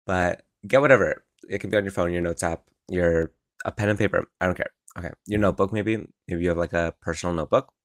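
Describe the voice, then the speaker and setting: A young man hosting a casual solo podcast from his room, rambling and encouraging, with lots of filler words and a croaky morning voice. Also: low voice, fast, dry recording, studio quality. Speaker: podcast host. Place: his own room at home.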